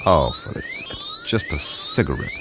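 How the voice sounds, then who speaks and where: A man's voice: extremely high pitch, huge pitch swings, moderate pace, over music, full character voice. Sarcastic, volatile male space traveler. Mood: irritated. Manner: sarcastic, exasperated, muttering and snapping. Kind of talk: science fiction radio drama acting.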